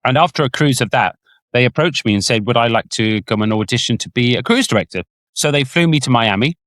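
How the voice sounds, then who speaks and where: A man, a podcast co-host and former cruise director, reminiscing in a casual, animated way. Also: mid-range voice, dry recording. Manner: animated storyteller, puts on voices when quoting.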